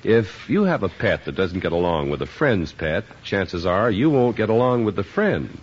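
Genial male radio announcer, lowish voice. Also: sing-song, moderate pace, breathy edge. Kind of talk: scripted announcing. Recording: muffled old radio broadcast.

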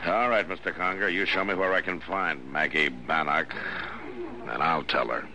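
An actor playing a firm, level-headed American town marshal, terse and authoritative, in a 1950s radio western. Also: male voice, low-pitched, fairly even intonation, moderate pace, loud music behind the voice. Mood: firm, stern. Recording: noisy old radio broadcast recording.

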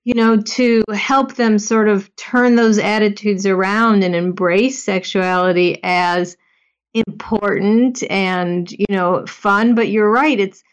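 A woman's voice: medium pitch, fairly even intonation, takes it slowly, smooth. Earnest, emphatic female guest on a talk show, slightly muffled audio. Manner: earnest, emphatic, with frequent you-knows. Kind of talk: conversational podcast chat.

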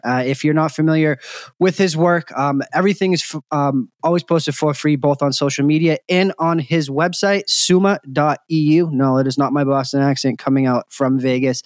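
A man's voice: fairly high pitch, dry recording. A man hosting a sports betting podcast, talking casually and effusively with lots of filler ums. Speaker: podcast host.

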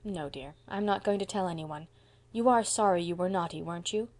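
A gentle young woman from a storybook , voiced by a woman reader. She speaks softly and patiently, with the kindly gravity of someone comforting a child. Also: on the low side, a little fast, natural voice. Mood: gentle, grave. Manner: soft-spoken, grave, kindly. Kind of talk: audiobook character voice.

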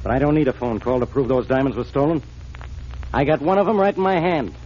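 A man playing a gruff, suspicious, hard-nosed police inspector with a bossy, sarcastic American delivery in 1940s radio drama style. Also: medium pitch, quick pace, a little mellow, natural voice. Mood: sarcastic, accusing. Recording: muffled, noisy 1940s radio recording.

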